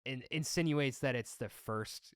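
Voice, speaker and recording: A man's voice: medium pitch, unhurried. A man on a podcast panel, talking in a casual, conversational way. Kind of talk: casual film podcast chat.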